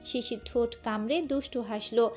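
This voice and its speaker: High-voiced woman narrating a romance story. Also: moderate pace, dark tone, faint music behind.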